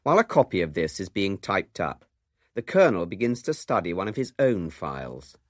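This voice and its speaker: A man's voice: low-pitched, big pitch swings, fairly quick, no echo, studio quality. A British man presenting a scripted radio documentary in a wry, urbane way.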